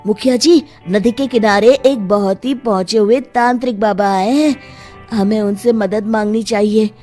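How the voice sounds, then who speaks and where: A woman's voice: medium pitch, moderate pace, warm tone, soft background music, lightly acted. A woman voicing an earnest cartoon villager. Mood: urgent. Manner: urgent, earnest. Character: eager male villager. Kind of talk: cartoon voice acting.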